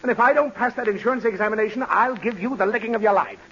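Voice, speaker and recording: A man's voice: high voice, rapid-fire, fairly bright tone, slightly rough, heavily acted. A man playing a sleepy, long-suffering father in a radio comedy, groaning and sputtering with weary exasperation. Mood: exasperated. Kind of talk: 1940s radio comedy acting. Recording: muffled, hissy old radio recording.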